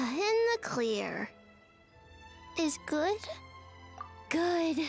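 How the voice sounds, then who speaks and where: Tiny, very high-voiced naive creature. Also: female voice, sing-song, gravelly, faint music behind, exaggerated voice. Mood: anxious. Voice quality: childlike. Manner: halting, broken simple phrases. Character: small naive childlike creature, simple and earnest. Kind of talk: video game voice acting.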